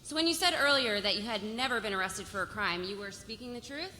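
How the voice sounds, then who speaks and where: Bright, cutting cross-examining lawyer, hall reverb. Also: female voice, mid-range voice, expressive, fast talker. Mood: accusing. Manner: probing, pointed. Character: sharp, relentless female opposing lawyer cross-examining a witness. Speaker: actor. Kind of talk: live table read of a TV legal drama. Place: festival stage in a large hall. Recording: hall reverb with faint noise, live stage.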